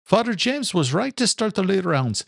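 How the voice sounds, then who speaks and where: A man doing a high, polite young groundskeeper son. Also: very animated, moderate pace, gravelly, no echo, studio quality, exaggerated voice. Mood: earnest. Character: young adult male groundskeeper, polite, good-natured son.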